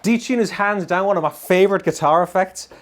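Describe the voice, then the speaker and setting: A man in his thirties giving an excitable, informal gear demo as a guitar reviewer. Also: high voice, a little fast. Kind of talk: enthusiastic casual product demo. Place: indoor guitar studio room. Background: electric guitar playing.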